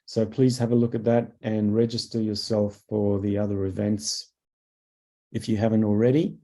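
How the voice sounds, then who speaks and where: A man with a croaky voice from a cough, presenting a webinar as a product manager, halting with fillers and clearing his throat. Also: on the low side, little pitch movement, warm tone.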